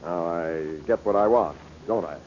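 An actor playing a wealthy, imperious uncle in 1940s radio drama style, smug and formal yet uneasy. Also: male voice, low-pitched, unhurried, darkish tone. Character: wealthy, domineering, uneasy uncle under death threats. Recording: muffled, hissy old radio recording.

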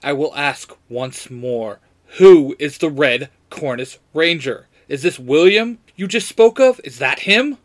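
A man puts on a stern young hero leading his team in an audio story. He is forceful and demanding, in a fairly high male voice. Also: animated, unhurried. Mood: angry, demanding. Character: Jason, stern commanding young male ranger team leader.

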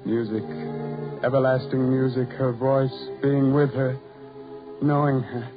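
An actor playing a tormented, lovelorn, self-loathing man in a melodramatic 1940s radio horror drama, brooding and halting in delivery. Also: mid-range voice, little pitch movement, loud music behind the voice, lightly acted. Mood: brooding rapture. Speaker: radio actor, lead and narrator. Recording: muffled, noisy 1940s radio recording.

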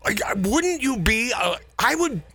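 A man's voice: extremely high pitch, big pitch swings, a little slow, sharp tone, no room sound. A middle-aged man hosting a radio show, chatting casually and telling rambling stories with chuckles. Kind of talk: casual studio chat.

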